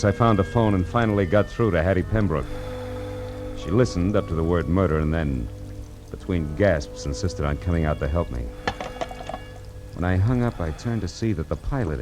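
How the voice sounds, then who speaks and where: Man acting a low-voiced hard-boiled detective. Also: brisk, lightly acted. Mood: cool, wry. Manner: hard-boiled, wry, clipped slang. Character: tough, cool-headed male private detective narrating his case. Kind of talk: 1940s radio noir acting and narration. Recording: old radio recording with music behind.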